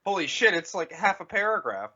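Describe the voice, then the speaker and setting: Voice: medium pitch, big pitch swings, a little fast, sharp tone, breathy edge, dull audio. A podcast co-host chatting casually, swearing freely and reading out bits of wiki entries.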